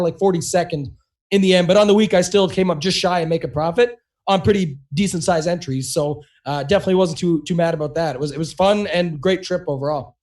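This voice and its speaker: Fast-talking high-voiced man, slightly hoarse. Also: darkish tone. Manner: quick, run-on talk with many "you know"s. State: slightly losing his voice. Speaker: podcast co-host. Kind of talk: casual podcast chat.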